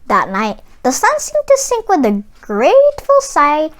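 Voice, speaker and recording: A woman narrating a children's storybook as an expressive read-aloud. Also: high-pitched, a little slow. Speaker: storybook reader.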